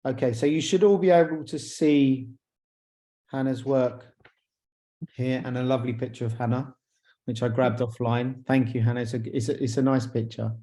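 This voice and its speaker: A man's voice: mid-range voice, steady tone, moderate pace, dark tone. A man acting as interviewer, informally hosting an online conversation in a tentative, conversational way. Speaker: interviewer, awards chair. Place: video call.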